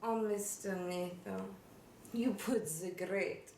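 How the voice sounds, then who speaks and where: Distant, echoey casting: actress as slow, gushing Russian maid, flirty and grandiose, bawdy comedy. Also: female voice, mid-range voice, fairly even intonation, darkish tone. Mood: gushing, adoring. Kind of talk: bawdy sketch comedy acting. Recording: roomy, distant, noisy room recording.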